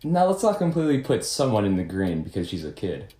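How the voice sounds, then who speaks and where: A man's voice: on the low side, very animated, fairly quick, warm tone, roomy sound. A man playing a suspicious, confrontational detective who questions pointedly in a puppet-show murder mystery. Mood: skeptical. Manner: pointed, skeptical.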